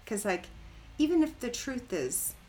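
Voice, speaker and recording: A middle-aged woman hosting a casual conversational interview, telling stories in a chatty, animated, informal way. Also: a little high, a little slow, a little hiss or hum. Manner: chatty, informal, animated storytelling with frequent "like" and "right?". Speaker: podcast host.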